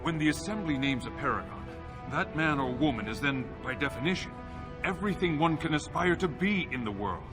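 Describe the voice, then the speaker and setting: An actor playing a principled, stubborn but humble historian in a fantasy game, speaking formally and earnestly. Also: male voice, fairly high pitch, expressive, a little fast, lightly acted. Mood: earnest, defiant. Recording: music behind the voice.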